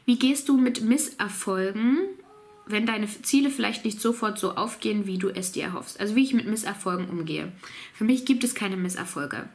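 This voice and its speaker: A woman's voice: medium pitch, moderate pace. A woman in her thirties with a cold, chatting casually to camera from a small home office as she answers viewers' questions. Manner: relaxed, chatty, laughs easily, reads out questions. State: has a cold.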